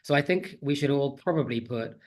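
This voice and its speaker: A man's voice: medium pitch, brisk. Man, slightly nasal from a cold, friendly conference presenter, video call mic. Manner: friendly, chatty, self-deprecating. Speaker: conference speaker, teacher trainer. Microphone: computer mic on a video call.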